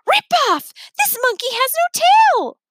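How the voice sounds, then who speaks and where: A woman voicing a bratty, spoiled little girl yelling in a children's storybook. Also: very high voice, big pitch swings, slow pace, no room sound, studio quality, voice pushed up, full character voice. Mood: outraged yelling.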